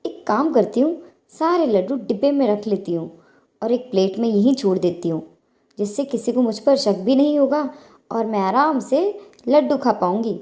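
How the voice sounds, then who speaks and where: A woman's voice: a little high, lively intonation, normal speed, dark tone, natural voice. A woman voicing a sly, greedy young daughter-in-law in a moral storybook tale, crafty in manner. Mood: gleefully scheming. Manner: crafty, feigning innocence. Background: faint music.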